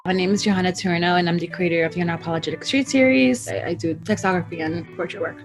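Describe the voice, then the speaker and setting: A woman's voice: fairly low pitch, fast talker. A woman from El Salvador, a street artist and photographer, speaking earnestly in an interview with frequent ums. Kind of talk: interview talk. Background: guitar music.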